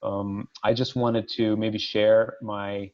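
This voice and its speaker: A man's voice: lowish voice, level tone, takes it slowly, a little mellow. A man from Ukraine who grew up in Colorado, speaking casually and a little hesitantly as a webinar participant. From born in Ukraine, grew up in Colorado from age nine. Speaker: webinar participant, study abroad company owner. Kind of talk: casual introduction in a discussion. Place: remote online call. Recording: dull lo-fi online call audio.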